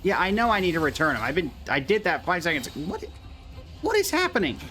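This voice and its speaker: A man streaming a game who reacts excitably and talks to his chat. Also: high voice, fairly quick, faint music behind. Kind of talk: casual live-stream reaction.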